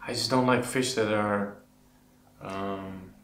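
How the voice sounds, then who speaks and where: A man's voice: on the low side, fairly even intonation, slow, a bit bright. A man from the Netherlands with a Dutch accent, talking casually and a little hesitantly as he answers questions as a channel host. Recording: roomy echo with background noise.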